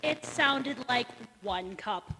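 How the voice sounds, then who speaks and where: A woman's voice: fairly high pitch, animated, takes it slowly, vocal fry, pushed and bright, a little hiss or hum, full character voice. A woman playing a chirpy, obliging housemaid with sly timing in a 1940s radio comedy. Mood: matter-of-fact. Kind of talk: 1940s radio comedy acting, staged revival. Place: community theatre stage in a church. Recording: echoey hall, long reverb.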